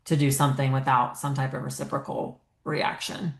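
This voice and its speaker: A woman's voice: a bass voice, flat delivery, moderate pace, gravelly. A woman hosting a podcast interview, talking conversationally and thinking aloud with fillers. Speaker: podcast host. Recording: echoey room.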